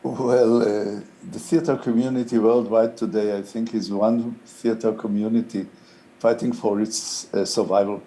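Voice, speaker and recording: An older man with a low, mellow voice speaks English as a second language, his first being Hebrew. He talks slowly and thoughtfully, often pausing on fillers as he gathers his words. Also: little pitch movement, rough recording. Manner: thoughtful, halting, many "uh" fillers. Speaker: interviewed playwright.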